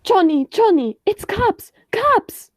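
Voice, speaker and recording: A woman's voice: very high-pitched, sing-song, slow, exaggerated voice. A young woman playing a nervous, squeamish doctor sidekick in a radio play. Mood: panicky.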